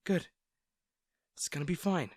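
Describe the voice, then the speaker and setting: A man playing a kind, worried young male rescuer in a roleplay audio drama, speaking in a gentle, hesitant, reassuring manner. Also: a little high, measured pace, no echo, studio quality. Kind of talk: acted first-person roleplay audio drama.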